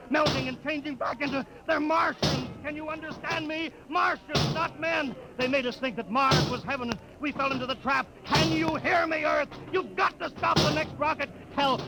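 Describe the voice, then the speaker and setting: Male lead: stranded space captain, very high strained pitch, urgent pleading, old radio play with loud score. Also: low-quality recording. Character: desperate trapped spaceship captain pleading with Earth. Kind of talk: 1950s radio drama acting. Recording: vintage radio recording with loud background.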